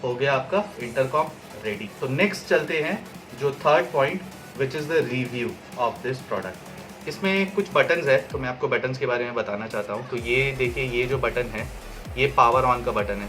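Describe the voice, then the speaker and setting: A man's voice: medium pitch, measured pace, over music. A man giving a casual, step-by-step product review and how-to, dropping English phrases into his talk. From India.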